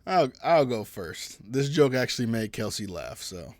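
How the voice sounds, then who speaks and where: Man, young adult, podcast co-host, mid-pitched voice, halting, easygoing, dry close sound. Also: very animated, no room sound. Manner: halting, restarts often, easygoing. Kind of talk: casual chat.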